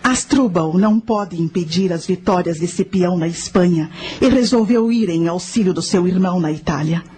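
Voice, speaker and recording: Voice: medium pitch, normal speed, a little mellow, slightly rough, natural voice. A performer playing a solemn guide who explains history in a formal, didactic radio drama narration. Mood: solemn, instructive.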